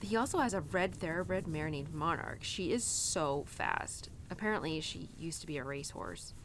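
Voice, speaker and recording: Woman as young cowgirl, independent and conflicted; animated, informal, intimate monologue for audio roleplay, some background noise. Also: sits low, normal speed. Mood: fond, gossipy. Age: young adult. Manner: chatty, hesitant, informal, peppered with "like" and "you know". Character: young cowgirl venting to her horse, conflicted and stubbornly independent.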